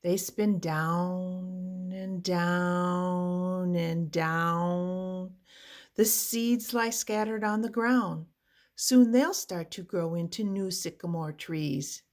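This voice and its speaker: A woman reading a children's picture book aloud with deliberate, expressive phrasing for young listeners. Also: lowish voice. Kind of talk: read-aloud children's storybook narration.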